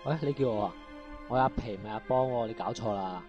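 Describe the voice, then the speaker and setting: A man's voice: fairly low pitch, quick pace, muffled recording, lightly acted. A man acting a firm, protective older brother who works as a prison guard, in an amateur radio drama. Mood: earnest, apologetic. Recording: radio drama with music underneath.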